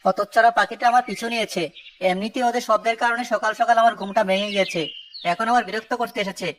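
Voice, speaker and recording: A woman's voice: on the high side, steady tone, fast talker, slight background noise, natural voice. A woman playing a greedy, irritable village man in a children's cartoon. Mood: irritated.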